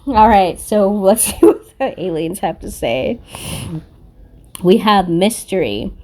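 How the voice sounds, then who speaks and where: A woman's voice: mid-range voice, animated, slow, a little mellow. A woman giving a casual spiritual card reading in a blunt, confrontational manner, swearing freely. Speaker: tarot card reader and spiritual content creator.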